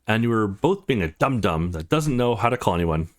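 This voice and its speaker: A man's voice: lowish voice, very animated, fairly quick. A man voicing a brash, foul-mouthed, teasing young woman who is a loyal friend in a visual novel game. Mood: scolding.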